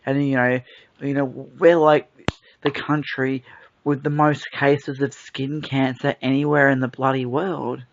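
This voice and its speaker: A man's voice: mid-range voice, little pitch movement, measured pace. A young Australian man co-hosting a casual podcast, telling stories loosely with swearing and fillers. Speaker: podcast co-host. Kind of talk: casual chat. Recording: dull lo-fi sound.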